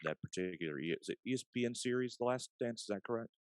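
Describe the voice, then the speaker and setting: A man who works as an arena announcer, answering interview questions in a measured, explanatory, conversational way. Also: mid-range voice, expressive, smooth, no echo, clean studio sound.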